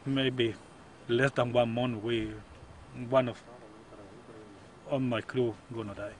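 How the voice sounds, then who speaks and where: A man speaking halting, non-native English in a plea to a camera outdoors. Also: mid-range voice, steady tone. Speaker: captive ship's captain. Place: outdoors in bushland. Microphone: camera a short distance away. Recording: very noisy windy outdoor camcorder.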